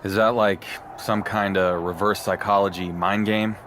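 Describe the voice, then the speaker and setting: Wry, self-assured underdog wrestler for a sports game, male actor; lowish voice, dry delivery. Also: fairly even intonation, moderate pace, a bit bright, a little hiss or hum, natural voice. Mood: wry, confident. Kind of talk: cinematic sports game dialogue.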